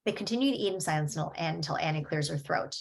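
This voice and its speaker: Woman reading stage directions, lowish voice, steady and matter-of-fact. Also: fast talker, gravelly.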